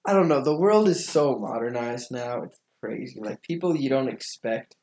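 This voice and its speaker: A man's voice: mid-range voice, animated, normal speed, a little mellow. A man chatting casually as a podcast guest, talking in an informal, hesitant, loosely worded way. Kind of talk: casual chat.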